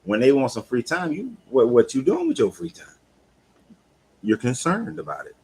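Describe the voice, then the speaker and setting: A man's voice: medium pitch, very animated, moderate pace, darkish tone, slight background noise. A man in his fifties, a life coach hosting a casual live talk, speaking candidly and in a laid-back, conversational way. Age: 50s. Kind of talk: casual live-stream chat.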